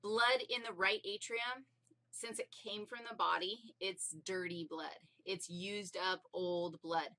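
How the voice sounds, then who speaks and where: Bright, emphatic woman teaching casually. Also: medium pitch, takes it slowly. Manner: animated, playful, emphatic. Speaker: anatomy teacher. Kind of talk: informal teaching explanation.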